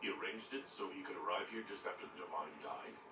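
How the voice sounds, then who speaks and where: A man playing a blunt, steady, businesslike hero and leader in a fantasy video game. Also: deep voice, quick pace, close to an everyday voice. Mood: questioning. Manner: direct, businesslike. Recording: roomy, distant, noisy game capture.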